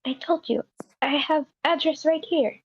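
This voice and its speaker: A woman's voice: high voice, measured pace. A woman playing a brash female nurse and driver in an amateur screenplay table read. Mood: assertive, indignant. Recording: muffled, lo-fi online meeting mic.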